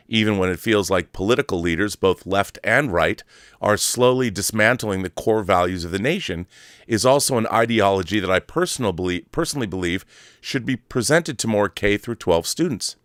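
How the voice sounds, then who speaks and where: A man's voice: fairly low pitch, fairly quick, a bit bright, no room sound, clean studio sound. A man hosting a solo podcast, talking casually and reading aloud with a theatrical, wordy showman's manner. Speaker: podcast host.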